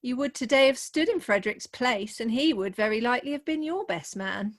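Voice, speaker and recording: High-pitched young bride played by an actress, sentimental and jealous, theatrically earnest Victorian comedy style for radio. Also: female voice, expressive, a little fast, lightly acted. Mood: high-minded, reproachful. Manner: mannered, declamatory, earnest. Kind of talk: theatrical comic radio play acting. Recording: remote home video-call recording.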